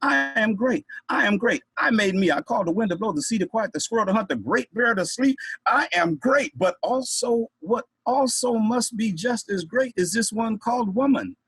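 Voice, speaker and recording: A man's voice: medium pitch, a little fast, a bit bright, husky, dry recording, low-quality recording. A man voicing a boastful, self-made mythic hero from a folk tale who proclaims emphatically and gets flustered and indignant. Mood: flustered pride.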